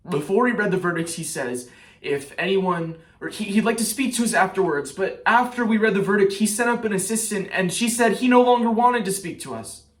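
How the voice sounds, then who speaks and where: A man performing a stage monologue as a shaken, bitter trial juror, speaking haltingly like someone giving testimony. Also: high-pitched, close to an everyday voice. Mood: bitter, agitated. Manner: halting, with pauses and repeated phrases. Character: shaken male trial juror, hounded, guilt-ridden and bitter. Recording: roomy echo, distant mic.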